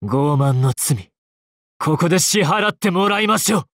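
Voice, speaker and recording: 男性声優がゲームで演じる、悲哀に満ちて丁寧な物言いの、冷徹で詩的な騎士の弓使い。. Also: male voice, medium pitch, husky, no echo, studio quality. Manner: slow, formal, poetic delivery. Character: sorrowful, courtly male knight archer, poetic and coolly merciless. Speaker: voice actor in a mobile game. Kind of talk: theatrical game battle lines. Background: game music.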